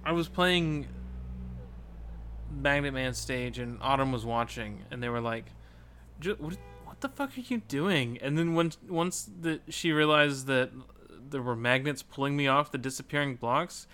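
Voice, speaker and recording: A man's voice: mid-range voice, measured pace, sharp tone. A man co-hosting a podcast, chatting casually about video games in a wry, joking and sometimes sweary manner. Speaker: podcast co-host. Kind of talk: casual video game discussion.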